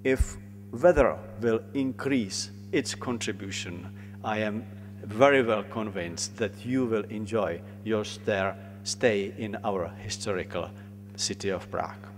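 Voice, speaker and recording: A man's voice: lowish voice, a little slow, faint music behind. A man with a Czech accent, a local organizer, giving an earnest formal welcome speech with slightly non-native phrasing. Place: large conference hall. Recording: long hall reverb, faint hum.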